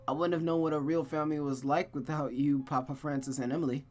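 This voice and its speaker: A woman voicing a gentle female friend in a visual novel, speaking tenderly and frankly in a measured way. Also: low-pitched, fairly even intonation, soft background music.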